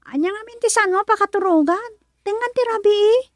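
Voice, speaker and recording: An actress playing a tired, modest young working woman in an Ilocano radio drama, with musing, drawn-out interjections. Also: very high voice, no echo. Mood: coy, modest.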